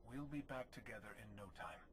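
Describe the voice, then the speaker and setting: An actor playing a steady, reassuring Jedi commander in a video game, speaking in a composed, earnest manner. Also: male voice, mid-range voice, fairly quick, a little hiss or hum, natural voice. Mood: composed, assured. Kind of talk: video game cutscene acting.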